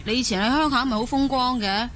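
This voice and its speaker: A woman's voice: a little high, fast, fairly bright tone, natural voice. A woman acting a discontented, outspoken young wife and mother from the mainland in a Cantonese radio drama. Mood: doubting, plaintive. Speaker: voice actor in an audio drama.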